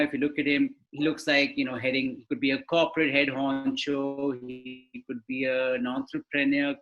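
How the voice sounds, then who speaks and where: A man's voice: medium pitch, a bit bright, poor audio. Man giving a webinar talk. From India. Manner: conversational, explanatory, asks rhetorical questions. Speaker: webinar panelist. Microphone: video call.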